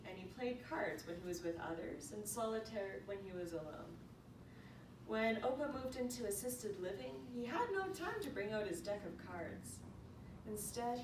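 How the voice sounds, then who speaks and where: A woman reading a prepared eulogy aloud in a storytelling way. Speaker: eulogy reader. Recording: echoey room, distant mic, noisy rumble.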